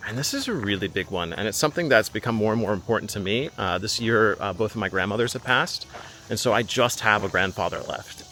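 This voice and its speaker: A man's voice: fairly low pitch, bright, forceful tone, slight background noise. A young man hosting his own channel, giving heartfelt spiritual advice in a casual, earnest way, with frequent ums and uhs. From United States. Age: young adult. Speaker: channel host.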